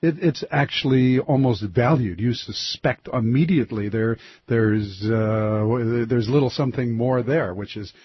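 A man's voice: medium pitch, measured pace, hardly any pauses, husky, no room sound, muffled recording. A male radio host asking conversational, probing questions. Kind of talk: radio interview.

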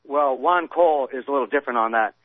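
A man's voice: mid-range voice, quick pace, no room sound. A man calling in to a radio show, rambling and argumentative, stumbling over his words. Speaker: caller. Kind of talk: phone-in conversation. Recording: tinny phone line.

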